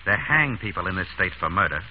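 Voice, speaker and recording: An actor playing an urbane, wry surgeon turned amateur sleuth in an old-time radio drama. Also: male voice, deep voice, lively intonation, fast talker. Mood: cool, pointed. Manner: urbane, wry, composed under threat. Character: suave, quick-witted male surgeon turned amateur sleuth. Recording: tinny, noisy vintage radio recording.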